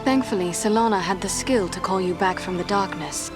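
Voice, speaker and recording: An actress playing a courteous, earnest elven warrior in a fantasy game, with composed, formal phrasing. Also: female voice, medium pitch, a little fast, music in the background, natural voice. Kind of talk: dramatic fantasy video game acting.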